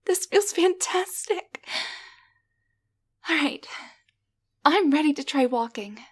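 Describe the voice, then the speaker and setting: A woman playing a gentle, grateful young waitress turned into a tiny living doll, speaking softly and hesitantly in an intimate roleplay audio drama. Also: sits high, expressive, slow. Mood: relieved, eager. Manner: soft, breathy, hesitant, tender delivery.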